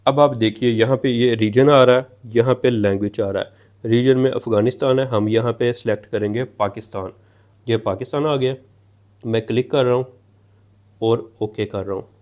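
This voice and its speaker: A man's voice: mid-range voice, steady tone, normal speed, darkish tone. A man narrating a hands-on technical tutorial, explaining and rephrasing each step as he goes. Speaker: tech tutorial presenter.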